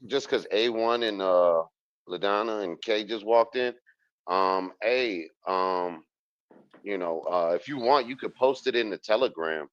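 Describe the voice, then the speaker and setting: A man's voice: lowish voice, dry recording. A man speaking hesitantly in a casual live audio chat, with lots of ums. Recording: dull dry sound.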